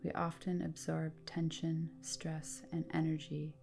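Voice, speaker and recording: A woman guiding a relaxation meditation in a calm, soothing manner, speaking in deliberate phrases with pauses. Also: sits low, gravelly, over music. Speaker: yoga nidra guide. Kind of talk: guided relaxation meditation, scripted narration.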